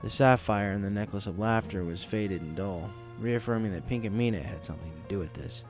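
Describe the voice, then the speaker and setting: A man's voice: lowish voice, brisk. A man narrating a scripted story as an audiobook reader, in a dramatic storytelling manner. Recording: music behind the voice.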